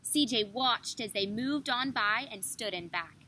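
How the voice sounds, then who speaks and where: A young woman reads a children's story aloud at a slow pace. Her voice is bright and clear-cut, her phrasing careful, with noticeable noise in the background. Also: a little high. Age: young adult. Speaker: student storybook reader. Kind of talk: scripted children's story narration.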